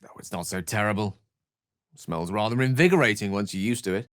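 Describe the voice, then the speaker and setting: A man's voice: fairly low pitch, brisk, no echo, studio quality, lightly acted. An actor voicing an eloquent, wry, bookish male wizard companion in a video game, with a theatrical, erudite delivery. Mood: reflective, eloquent. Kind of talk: video game character voice acting.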